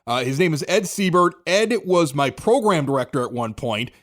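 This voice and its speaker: A man's voice: on the high side, lively intonation, moderate pace. An American man hosting a podcast, talking sports in a casual, chatty, conversational way. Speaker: podcast host, former morning radio host.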